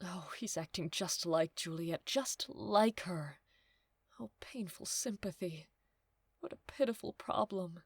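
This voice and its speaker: A woman's voice: fairly low pitch, slow, husky, dry recording, studio quality, full character voice. A woman playing a fretful, pitying nurse in a modern-language Shakespeare audio drama, lamenting and pleading with repeated phrases. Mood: pitying, mournful. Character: Juliet's female nurse, fretful, pitying, bossy servant.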